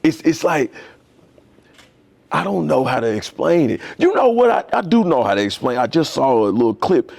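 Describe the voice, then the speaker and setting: A man's voice: medium pitch, very animated, normal speed, gravelly, a little mellow. A young man, a tattoo artist, talking as a podcast guest in a loose, slangy, storytelling way. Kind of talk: casual conversation.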